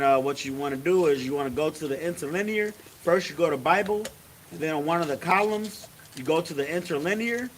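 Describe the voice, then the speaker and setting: A man's voice: on the high side, rough recording. A man leading a Sabbath service in a loose, chatty way, constantly saying "you know what I'm saying" and "man". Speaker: religious teacher leading a service. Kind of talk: casual sermon. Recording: faint hiss.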